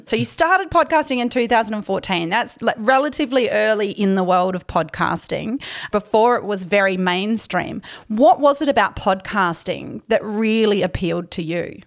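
A woman's voice: medium pitch, moderate pace. A woman with an Australian accent hosting a podcast interview, asking friendly, slightly rambling questions. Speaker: podcast host.

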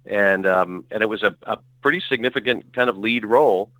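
A middle-aged man recalls his career in loose, meandering sentences, circling back and restarting as he goes. His voice sits a little low but rings bright and forceful. The sound is dry and close, with no room around it. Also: brisk, no echo, rough recording. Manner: rambling, self-correcting, with frequent fillers. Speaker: actor guest. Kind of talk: relaxed conversational storytelling.

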